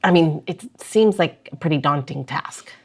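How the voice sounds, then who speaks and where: A woman's voice: sits low, normal speed. A woman hosting a podcast, asking her guest questions in casual chat. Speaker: podcast host.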